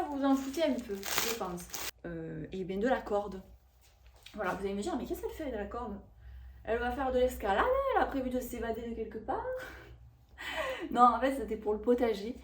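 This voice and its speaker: A woman in her thirties chatting casually to camera about a shopping haul, rambling and expressive with lots of fillers. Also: fairly high pitch, a little fast, slight background noise. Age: 30s. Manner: chatty and rambling, with frequent fillers and self-corrections. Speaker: vlogger reviewing a shopping haul.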